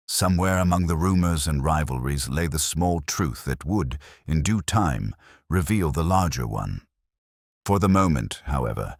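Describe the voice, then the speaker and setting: A man giving a measured, literary narration of a period detective story. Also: very deep voice, no echo, clean studio sound. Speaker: audiobook narrator.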